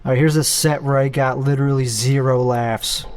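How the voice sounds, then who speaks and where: A man's voice: mid-range voice, steady tone, normal speed. A young man hosting a casual solo show, talking bluntly and rambling with self-mocking asides. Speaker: podcast host.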